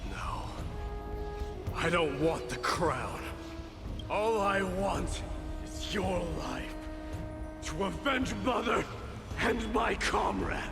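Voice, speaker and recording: An actor playing a fierce, brooding young warrior prince in a fantasy game, with stern, weighty delivery. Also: male voice, high voice, expressive, drawn-out words, vocal fry, long pauses, heavily acted. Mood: vengeful. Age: young adult. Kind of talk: dramatic fantasy game acting. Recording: long hall reverb, music behind. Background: music.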